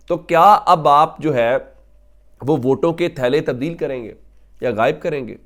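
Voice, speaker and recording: Male political commentator, Pakistani accent. Voice sits a little high; emphatic delivery addressed straight to viewers, with rhetorical questions.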